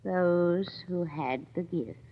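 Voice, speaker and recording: An actress playing an ominous, deferential antique shop keeper, speaking in a measured, insinuating 1940s radio manner. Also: female voice, on the low side. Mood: portentous. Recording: dull, hissy old radio recording.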